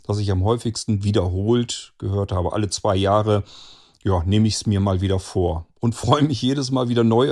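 A man hosting an interview, putting prepared questions with deliberate, emphatic phrasing. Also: on the low side, vocal fry, darkish tone. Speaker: interviewer, host.

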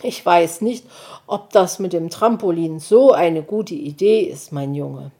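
A woman's voice: on the low side, unhurried, mellow. A woman voicing a caring mother hedgehog who snuffles as she talks. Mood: gentle. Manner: snuffling "nuff" sounds.

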